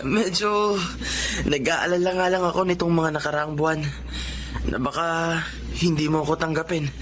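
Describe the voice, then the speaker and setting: An actor with a Filipino accent playing a smitten, jealous young rich boyfriend in a radio drama. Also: male voice, a little high, steady tone, normal speed, background noise, lightly acted. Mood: earnest, affectionate. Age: young adult. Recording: dramatic music behind the voice.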